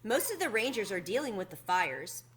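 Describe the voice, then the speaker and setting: A woman's voice: medium pitch, sing-song, normal speed, a bit bright. A woman voicing a kindly older male park ranger in a storybook, folksy, casual and dismissive.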